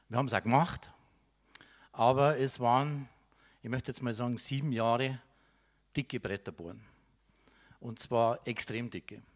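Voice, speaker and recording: A middle-aged man with a Bavarian-Tyrolean accent, a panel guest speaking emphatically into a handheld mic in a conference hall. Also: mid-range voice. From Tyrol, Austria.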